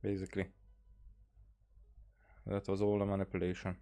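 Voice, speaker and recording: A woman streaming a game, giving casual, halting commentary in short muttered remarks. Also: a bass voice, level tone, mellow. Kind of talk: casual reaction commentary over gameplay.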